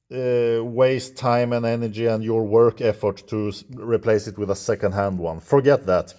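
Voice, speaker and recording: Swedish DIY mechanic, unhurried and halting, mid-pitched man. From Sweden. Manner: slow, halting, thinking aloud while working. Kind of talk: hands-on repair tutorial.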